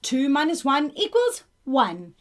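A woman's voice: sits high, wildly swooping pitch, slow pace. A woman in her thirties co-hosting a storybook show, reading expressively to young children and putting questions to them. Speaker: co-host reading a children's picture book. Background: music.

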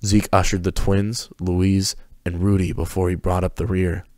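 A man narrates scripted fiction. His voice sits very low, and the recording is dry and close, with hardly any room in it. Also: flat delivery, normal speed, a little mellow, dry recording.

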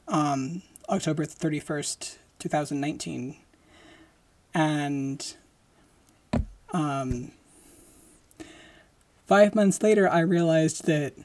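A young adult podcast host chatting casually at home into a close studio mic, halting with fillers and restarts. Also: medium pitch. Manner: speaks slowly with lively hand gestures. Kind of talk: casual solo podcast chat. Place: decorated home room, indoors. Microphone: large studio mic close in front.

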